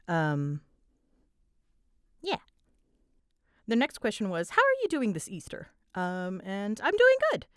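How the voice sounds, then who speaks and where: A young adult woman chatting casually as she answers fan questions, with an animated way of retelling things. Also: medium pitch, slow pace, pauses often, clean tone, dry recording, clean studio sound. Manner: chatty, animated retelling with quoted asides and giggles. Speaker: audio roleplay creator answering fan questions. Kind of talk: casual Q&A chat.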